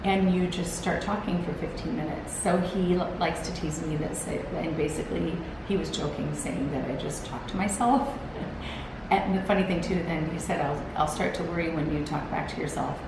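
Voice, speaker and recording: A woman hosting a solo motivational talk, earnest and encouraging. She sounds gravelly and tired, and rambles with restarts as she talks to a camera set on a timer. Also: low-pitched, moderate pace. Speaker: host. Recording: very echoey room with background hiss.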